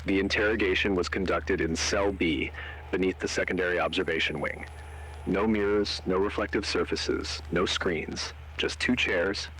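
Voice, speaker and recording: A man performs a grave analog horror narrator with a very deep, husky voice. He is measured and deliberate, delivering scripted lines with an ominous weight. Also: a bit bright, rough recording. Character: grave male narrator and interrogator of a horror tale.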